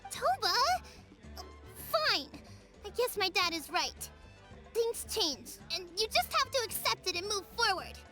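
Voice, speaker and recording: A young village boy talks in a very high, lively voice, childlike and earnest. He sounds a little defensive yet sincere. Game music runs beneath. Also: a little slow, exaggerated voice. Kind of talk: video game dub.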